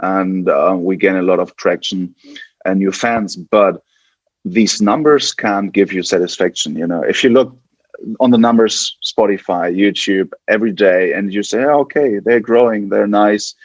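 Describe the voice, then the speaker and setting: A man's voice: deep voice, moderate pace, no room sound, highs cut off. A man from West Germany with a German accent, chatting casually as an interview guest and often repeating and restarting his words. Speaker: podcast guest, band guitarist.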